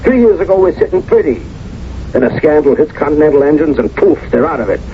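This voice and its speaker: An actor playing a pushy, optimistic American business partner in a radio drama, brash and colloquial. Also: male voice, on the high side, animated, fast, close to an everyday voice. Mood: urging. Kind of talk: 1970s radio drama acting. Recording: muffled 1970s radio recording, faint noise.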